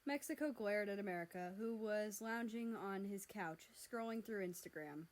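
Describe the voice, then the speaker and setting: A woman's voice: medium pitch, moderate pace. Woman reading a story aloud. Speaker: fan fiction reader. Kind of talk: scripted story narration with character voices.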